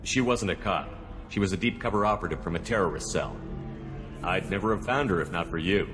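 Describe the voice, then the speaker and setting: A man playing a confident military commander in a video game, calm, firm and direct. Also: low-pitched, a little fast, over music, close to an everyday voice. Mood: assured, persuasive.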